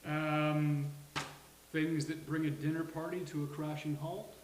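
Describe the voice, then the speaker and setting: A man's voice: on the high side, little pitch movement, measured pace, close to an everyday voice. A man playing a wry, slightly anxious man in a sitcom table read, with dry, hesitant delivery. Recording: hissy, roomy live recording.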